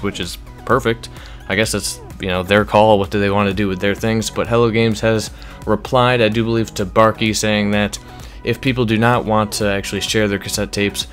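Low-pitched man, casual gaming commentary over music. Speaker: video game news YouTuber. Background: background music under the voice-over.

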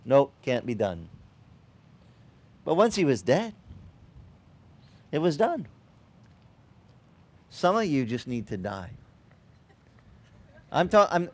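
A middle-aged man whose first language is Italian, preaching a sermon outdoors at a beach with a headset mic, in a lively, conversational style full of joking asides. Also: medium pitch. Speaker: pastor.

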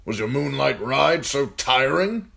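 A man voicing a gruff older military commander in an audiobook, talking bluntly and firing off needling questions. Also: mid-range voice, lively intonation, measured pace, bright, forceful tone, pitched above the performer's own voice, exaggerated voice. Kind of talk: audiobook character voice.

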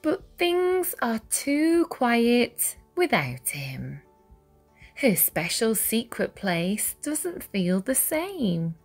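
A woman reading a children's picture book aloud with deliberate, dramatic phrasing for young listeners. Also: a little high. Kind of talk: expressive read-aloud storytelling. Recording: music behind the voice. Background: music.

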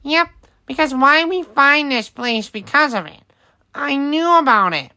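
Woman voicing excitable cartoon character, high, swooping pitch, chirpy, amateur voice lines. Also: unhurried, exaggerated voice. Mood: perky. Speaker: amateur voice actor.

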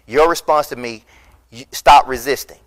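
A middle-aged man preaching a recorded sermon as pastor in an empty church hall, impassioned and emphatic, rising to loud peaks, on a lapel mic. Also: mid-range voice, normal speed.

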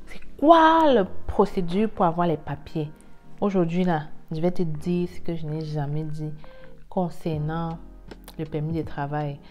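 A woman in her thirties with a low-pitched voice speaks to her viewers as a coach would. She is direct and emphatic, repeating key words in an informal explainer style. She is close-miked on a lapel in a room at home, with music playing faintly behind her. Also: expressive, measured pace, a little mellow. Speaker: video host and immigration coach. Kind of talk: informal explainer talk to camera. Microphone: lapel mic.

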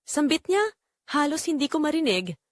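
A woman reading a scripted first-person audio drama in a dramatic, measured way with short pauses. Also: sits high, lively intonation, dry recording, clean studio sound. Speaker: narrator.

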